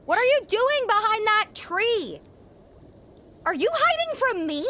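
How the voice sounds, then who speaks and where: A woman's voice: very high-pitched, sing-song, measured pace, bright, forceful tone, full character voice. A woman playing a determined, kind young female mountain climber, plucky and inquisitive, in a family audio drama. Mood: defiant.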